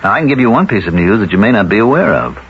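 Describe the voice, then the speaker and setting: An actor playing a calculating village elder who reasons deliberately with veiled threat, in 1970s radio drama style. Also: male voice, lowish voice, very animated. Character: smooth-talking, calculating village elder, a man. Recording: slightly muffled, faintly noisy old radio recording.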